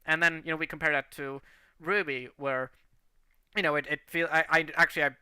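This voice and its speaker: A man's voice: fairly high pitch, steady tone, brisk, bright, forceful tone, smooth, dry recording. A man from Portugal, English not his first language, chatting on a creators' livestream about planning a long series, rambling with many restarts. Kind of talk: casual livestream chat.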